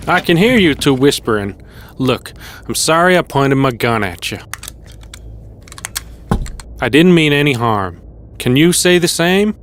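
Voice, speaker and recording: An actor playing a wary older male survivor in an audio drama, gruff and folksy. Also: on the high side, animated, measured pace, long pauses, a bit bright. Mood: guarded, conciliatory. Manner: folksy, plain-spoken. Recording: clear background noise.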